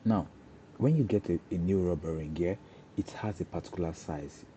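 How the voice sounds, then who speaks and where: Deep-voiced Nigerian man teaching. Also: normal speed, dark tone, a little hiss or hum. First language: Yoruba. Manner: repeats words and restarts often, explanatory. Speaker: cybersecurity trainer. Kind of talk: informal career talk.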